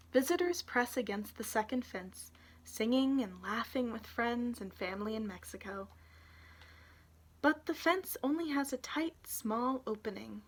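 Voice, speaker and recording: A young adult woman reading a children's picture book aloud in an informal storytelling manner. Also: a little high. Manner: informal, pauses to point out illustrations.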